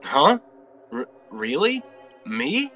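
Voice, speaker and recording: A man voicing a wary young man who plots quietly and stammers when caught, in a fan dub of a comic. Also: a little high, big pitch swings, drawn-out words, soft background music, heavily acted. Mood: flustered. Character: wary young man with lost memories, scheming.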